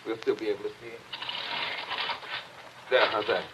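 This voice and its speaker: An actor playing a concerned, gentlemanly family friend in a 1940s radio drama, speaking in a calm, measured way. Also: male voice, on the low side, natural voice. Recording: old radio broadcast with clear hiss.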